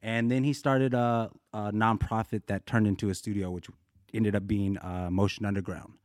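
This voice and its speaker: Male guest from Colorado, low voice, dry sound, loose rambling storyteller. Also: flat delivery, normal speed, a little mellow, no echo. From United States, Colorado. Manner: laid-back, rambling, acts out quotes. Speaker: guest, dancer. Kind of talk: casual storytelling interview.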